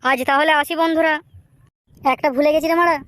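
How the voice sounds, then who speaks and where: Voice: fairly even intonation, fairly bright tone. A performer voicing a cheeky, slangy young prankster boy in a comedy cartoon. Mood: chirpy. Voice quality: high-pitched cartoon voice. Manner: slangy, brash, fast-talking. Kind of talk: Bengali comedy cartoon dialogue.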